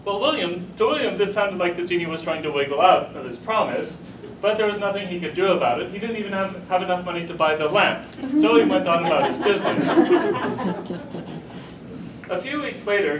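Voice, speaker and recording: Male humorous speaker, high voice, deliberate comic storytelling at a live contest; distant, echoey recording. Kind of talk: humorous tall-tale speech to a live audience. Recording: roomy, distant mic, rumbling noise.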